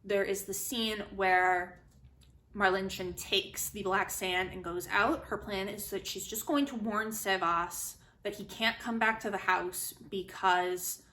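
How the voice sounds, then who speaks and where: Woman, bright crisp tone, medium pitch, chatty, animated, casual book reviewer. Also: unhurried. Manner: animated, chatty, acts out quotes informally. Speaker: book reviewer, host of a literary video series. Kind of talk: casual spoken book review and plot retelling.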